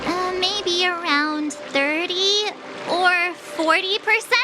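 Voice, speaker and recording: A woman's voice: very high-pitched, slow pace, over music. A woman voicing a bubbly, cocky teenage girl learning swordplay in an anime-style game. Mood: sheepish, playful. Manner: excitable, theatrical, boastful.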